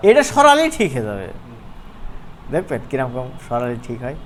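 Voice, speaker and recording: A man's voice: medium pitch, wildly swooping pitch, normal speed, fairly bright tone. Bangladeshi man teaching casually, noisy recording. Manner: conversational, playful, often checking with listeners. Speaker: animation instructor. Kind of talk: informal screen-share software tutorial.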